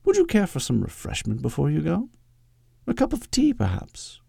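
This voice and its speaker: A man's voice: a little high, wildly swooping pitch, normal speed, creaky, dark tone, slightly rough, dry recording, studio quality, voice pushed up, heavily acted. A man voicing a prim, imperious elderly British woman, a secretary in an audiobook story. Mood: politely forced.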